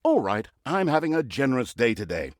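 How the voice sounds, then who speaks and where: An actor playing a theatrical, mock-pompous narrator of villainous deeds in a comic video game, with a sardonic, mocking manner. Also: male voice, mid-range voice, sing-song, a little fast, dry recording, studio quality, close to an everyday voice. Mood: sardonic scolding.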